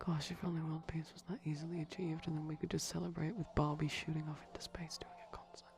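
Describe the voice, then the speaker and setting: A man playing a shy, gentle vampire boyfriend in an ASMR roleplay, whispering softly and rambling hesitantly over a TV soundtrack. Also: fairly high pitch, little pitch movement, fast talker. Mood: musing. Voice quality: soft, breathy whisper. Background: film audio and music from a TV.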